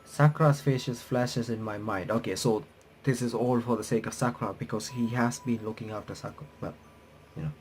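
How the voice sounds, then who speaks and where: A man reading visual novel text aloud with bits of his own commentary, halting and correcting himself as he goes. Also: medium pitch, fairly even intonation, darkish tone, a little hiss or hum, poor audio. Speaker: gaming reaction streamer. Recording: music playing behind the voice. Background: game music.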